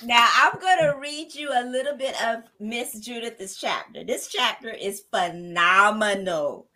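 Woman podcast host, bright and forceful, effusive and preacherly, fairly high voice. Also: unhurried. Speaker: podcast host and writing coach. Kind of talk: hosting a podcast book launch.

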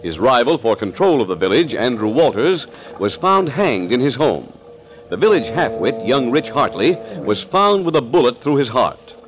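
A man's voice: mid-range voice, sing-song, moderate pace, rough recording. A man narrating a 1940s radio thriller in a dramatic, ominous, declamatory style.

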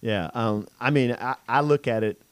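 A man's voice: medium pitch, moderate pace, clear, resonant tone, no echo. A man hosting a business radio talk show, chatting loosely with many fillers and restarts. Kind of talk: casual interview chat.

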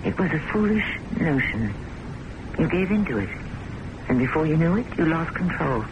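A woman's voice: big pitch swings, moderate pace, muffled recording. Intense, insistent American woman, noisy radio. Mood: fervent, pleading. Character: intense, possessive woman, insistent and romantically obsessed. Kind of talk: radio mystery drama acting. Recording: noisy old radio broadcast with rumble.

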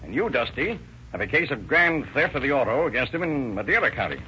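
An actor playing a brisk, commanding police chief in a 1930s radio drama. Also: male voice, mid-range voice, very animated, bright, forceful tone. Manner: brisk, commanding, summing things up. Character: authoritative police chief running a meeting. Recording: dull old radio recording with hiss.

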